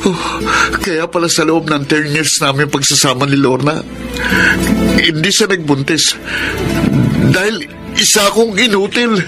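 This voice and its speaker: Male actor as earnest, troubled Filipino husband, slightly high voice, radio drama with music underneath. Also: a little slow, close to an everyday voice. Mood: anguished, bitter. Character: troubled churchgoing husband, anguished over being infertile.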